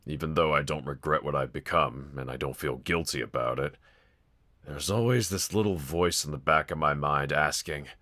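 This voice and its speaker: A man's voice: extremely low pitch, bright, forceful tone, heavily acted. A man playing a brooding young villain roommate in an intimate audio roleplay, confiding hesitantly and wryly to one listener. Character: brooding young male villain roommate, self-loathing but softly grateful.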